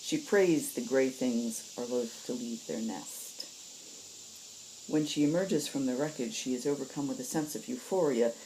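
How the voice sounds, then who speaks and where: Very deep-voiced woman storyteller. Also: normal speed, dark tone, slight background noise, poor audio. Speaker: story reader and host. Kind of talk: audiobook-style narration.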